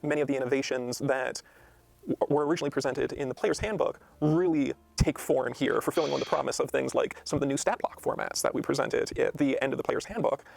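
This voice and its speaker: A man's voice: medium pitch, fast, gravelly, darkish tone, no room sound. A man talking as a game designer in a discussion, explaining with enthusiasm. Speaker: game designer presenting new books. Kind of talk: interview discussion.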